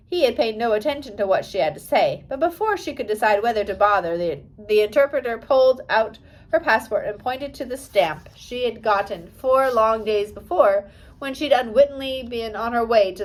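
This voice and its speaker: A woman's voice: a little high, lively intonation, moderate pace, rough recording. A woman narrating a storybook as an audiobook reader, carefully reading aloud with an occasional stumble over the text.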